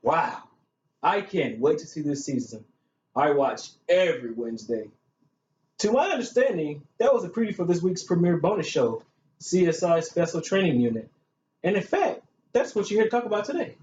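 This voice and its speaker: High, swooping gushy talk show host played by a man. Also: very animated, normal speed, a little mellow. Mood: gushing. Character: gushing, chatty daytime talk show host. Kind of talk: hosting a staged student-made talk show. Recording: echoey, muffled room recording with hiss.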